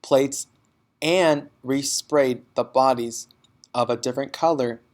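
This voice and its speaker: A kindly woman teacher from a storybook voiced by a man. She talks in a calm, measured way, gentle yet matter-of-fact. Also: mid-range voice, lightly acted.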